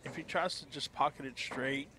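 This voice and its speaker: A man with a Texan accent giving relaxed, explanatory color commentary on a pool match, using folksy sayings. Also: mid-range voice, moderate pace. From Texas. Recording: noisy crowd in the background.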